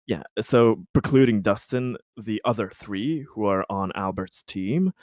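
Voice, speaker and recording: A man's voice: lowish voice, unhurried, darkish tone, no room sound, clean studio sound. A man playing a tabletop roleplaying game, bantering in character in a hesitant, politely wry way.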